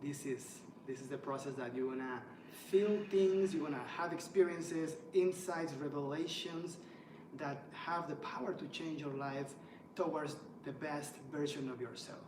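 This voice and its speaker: A man born in Ecuador hosting a welcome talk, speaking with excited emphasis and plenty of fillers as he lists things one by one. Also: on the high side, measured pace. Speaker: host. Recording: echoey room, mic at a distance, noisy.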